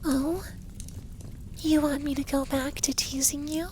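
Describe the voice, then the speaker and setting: A man voicing an effeminate young dragon lover in an intimate ASMR roleplay, speaking softly and breathily, teasing and commanding yet doting. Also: very high voice, little pitch movement, unhurried, a little hiss or hum. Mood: teasing, dominant.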